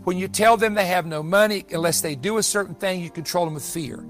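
Man, high-pitched preacher. Emphatic, exhorting prophecy sermon with repeated phrases, over background music. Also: quick pace. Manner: emphatic, repetitive, exhorting delivery.

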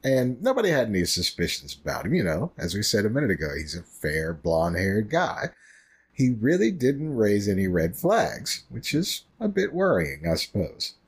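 A man co-hosts a podcast in a relaxed, conversational way, dropping dry and wry asides. His voice is husky, and his pitch swings widely as he talks. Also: moderate pace. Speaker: podcast co-host. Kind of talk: casual podcast chat.

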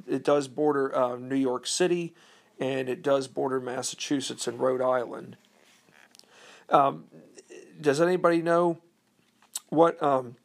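A man giving an unscripted history talk, hesitant and full of ums and uhs, asking his listeners questions. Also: on the high side. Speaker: history presenter.

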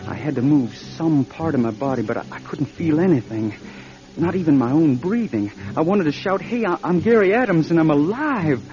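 A frightened, suspicious young man, played by an actor in a radio drama, with a fairly high voice and a halting, stammering delivery. The recording is dull and old-fashioned, with music behind him. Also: expressive, warm tone, natural voice. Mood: dread, halting recollection. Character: scared, suspicious young man trapped blind and numb. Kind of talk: audio drama acting, first-person narration and dialogue.

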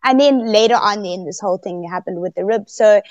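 A woman guest on a podcast interview, telling her story expressively and loudly, with laughs here and there. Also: medium pitch, a little fast. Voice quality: very loud voice. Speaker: guest, eliminated contestant.

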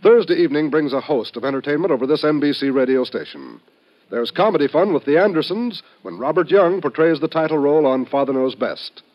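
A man's voice: a little high, lively intonation, fairly quick. A man announcing in 1950s network radio style, with an emphatic, polished promotional delivery. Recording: dull, band-limited old radio recording.

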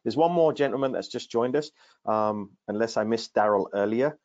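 A man hosting a video-call interview, introducing his guest in a friendly, halting way with lots of fillers. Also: medium pitch, a little mellow. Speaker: podcast host, coaching director. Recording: dry, dull call audio.

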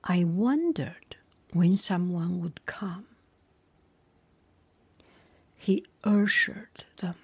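A woman whispering a storybook aloud in ASMR style and pausing for chatty asides to the listener. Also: on the low side, very animated, husky.

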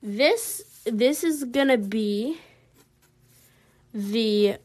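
A young woman with a Southern American accent chats on her own podcast while she draws. She rambles through lots of fillers and small giggles, and her voice sits a little high. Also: animated, extremely slow, a little mellow. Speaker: podcast host. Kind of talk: casual solo chat while drawing.

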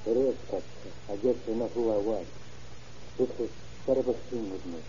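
A man's voice: on the low side, moderate pace, mellow, natural voice. A man playing a subdued, grieving brother in an old-time radio drama. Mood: subdued grief. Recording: muffled old radio recording with hiss.

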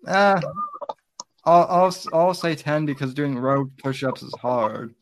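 A man's voice: fairly high pitch, takes it slowly. A young man hosting a casual livestream, stumbling and repeating his words as he starts sentences. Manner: hesitant, repeats his words, reads chat. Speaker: livestream host.

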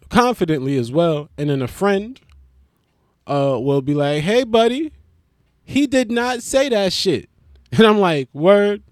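A man's voice: mid-range voice, darkish tone, dry recording, clean studio sound. A man hosting his own podcast, rambling in a casual, profane, joking way and restarting his intro. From Brooklyn. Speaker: podcast host.

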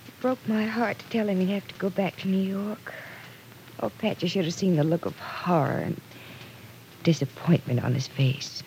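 An actress playing a soft-hearted, earnest sister in a 1940s radio drama, pleading and protesting. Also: female voice, mid-range voice, normal speed, a little hiss or hum, natural voice, low-quality recording. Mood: troubled, pleading.

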